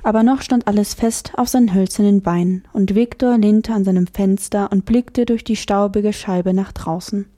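A woman reading a children's novel aloud as the narrator, expressive and lively. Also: medium pitch, moderate pace, few pauses. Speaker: audiobook narrator. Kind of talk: scripted storybook narration.

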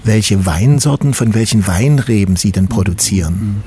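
A man interviewing a guest for radio, asking measured, formal questions outdoors at a vineyard. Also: fairly low pitch, animated, mellow, slightly rough.

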